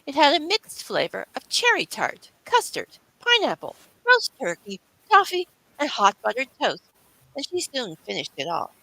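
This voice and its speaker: Bright-voiced woman narrating a storybook. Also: high-pitched, huge pitch swings, moderate pace, creaky, no room sound, low-quality recording. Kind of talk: scripted children's story narration.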